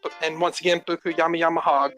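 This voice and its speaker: Guy phoning into a show, flat delivery, bright voice, kinda halting, faint music behind. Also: on the high side. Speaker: caller. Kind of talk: casual call-in remarks.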